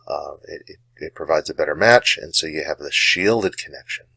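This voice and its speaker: A man giving a casual, unscripted explanation as a hobbyist host, halting and hesitant, with frequent pauses and a gravelly voice. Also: rumbling low, sharp tone, dry recording, poor audio.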